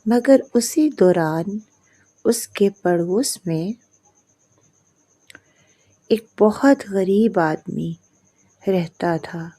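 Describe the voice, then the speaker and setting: Dark, husky woman storyteller. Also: medium pitch, pauses often. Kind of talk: scripted narration of a moral story.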